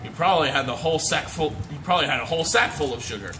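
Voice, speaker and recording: A man's voice: fairly high pitch, animated, very fast, music in the background, close to an everyday voice. A man reading as a chatty, aggrieved storybook wolf who pleads innocence, in a folksy, emphatic way. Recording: noisy amateur phone video recording.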